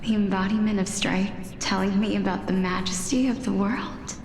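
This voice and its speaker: A young actress voicing a sorrowful younger sister who defies fate in a video game, earnest and plaintive. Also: female voice, mid-range voice, fairly even intonation, moderate pace, darkish tone, a little hiss or hum, close to an everyday voice. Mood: challenging. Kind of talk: dramatic video game voice acting. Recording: echoey hall reverb with music behind.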